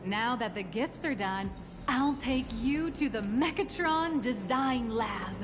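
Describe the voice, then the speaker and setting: A woman's voice: a little high, expressive, takes it slowly, music in the background. A woman voicing a confident, teasing young female tour guide in a video game. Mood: businesslike, friendly.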